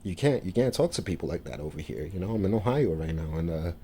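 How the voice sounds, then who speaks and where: New man, very low voice, dark mellow tone, halting, lots of fillers. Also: expressive, a little hiss or hum. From New York, USA. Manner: halting, many fillers and restarts. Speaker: vlogger. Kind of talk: casual monologue to camera.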